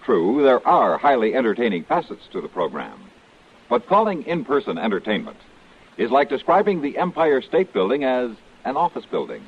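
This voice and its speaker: A man's voice: medium pitch, wildly swooping pitch, moderate pace, slight background noise. A man announcing a scripted network promo in polished, emphatic 1950s radio style. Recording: old radio, narrow phone-like band.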